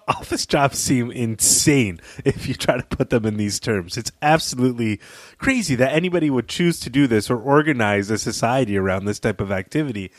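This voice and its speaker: A man's voice: mid-range voice, normal speed, no echo. Opinionated man in dry, conversational commentary. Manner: opinionated, emphatic. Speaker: podcast co-host.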